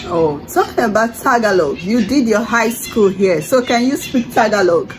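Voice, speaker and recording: A woman's voice: mid-range voice, lively intonation, normal speed, music in the background. A young woman hosting a casual interview indoors, chatty and laughing often, asking playful questions. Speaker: host. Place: indoors, room with a red wall and a barred window. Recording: noisy home video.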